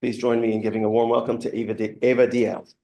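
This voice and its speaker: A man reading a measured, formal introduction of a guest lecturer in a lecture hall. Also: mid-range voice, level tone, dark tone. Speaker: event host introducing a speaker.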